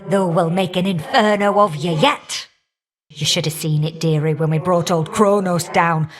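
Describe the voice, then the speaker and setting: A woman's voice: on the low side, natural voice. Woman doing a fiery older goddess for a game, cheeky and folksy, teases you fondly. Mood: wistful, teasing. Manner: folksy, teasing, affectionate endearments and colloquial turns of phrase. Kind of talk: video game character voice acting.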